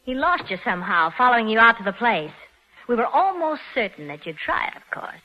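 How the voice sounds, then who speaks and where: A woman's voice: a little high, sing-song, a little fast. An actress playing a cool, sly American woman swindler in a 1940s radio crime drama. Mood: cool, mocking. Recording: dull, faintly noisy vintage radio recording.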